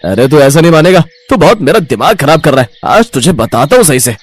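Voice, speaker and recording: A man's voice: fairly high pitch, very animated, a little fast, slight background noise. A man playing a hot-tempered fourteen-year-old elder brother in a children's cartoon story, irritable and snapping. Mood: menacing. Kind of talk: children's animated moral story acting.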